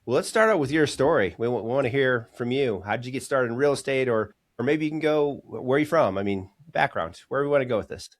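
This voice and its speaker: A man's voice: mid-range voice, animated, fast, few pauses. A man hosting a business podcast interview, friendly and casual, often restarting his questions. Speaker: podcast host.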